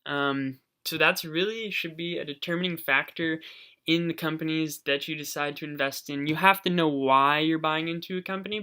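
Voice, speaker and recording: Investing host doing a walkthrough, bright and crisp, laid-back with lots of ums. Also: medium pitch. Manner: casual, thinking aloud with frequent ums; advisory, coaching viewers directly. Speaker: channel host. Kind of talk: screen-share stock research walkthrough.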